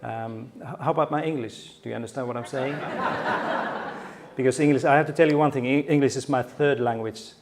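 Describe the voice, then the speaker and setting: A man's voice: mid-range voice, normal speed, background noise. Finnish guy doing a keynote at a conference, middle-aged, chatty and jokey, restarts his sentences a lot. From Finland. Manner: friendly, joking with the audience, many restarts. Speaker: keynote speaker. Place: conference hall.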